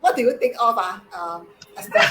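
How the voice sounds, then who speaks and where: A woman from Singapore co-hosting a casual live stream, upbeat and emphatic with halting restarts. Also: a little high, wildly swooping pitch, background noise. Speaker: co-host.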